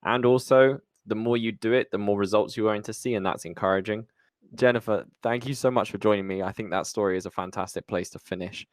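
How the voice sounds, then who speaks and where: A man's voice: lowish voice, steady tone, fast, no echo, studio quality. A man hosting a podcast interview, speaking in a conversational way with his guest. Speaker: podcast host.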